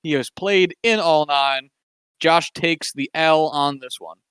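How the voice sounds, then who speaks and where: Bright, wry podcast co-host man. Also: fairly high pitch, slow pace, clean tone, dry recording. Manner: wry, sarcastic, rambling with many fillers. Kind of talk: casual sports podcast banter.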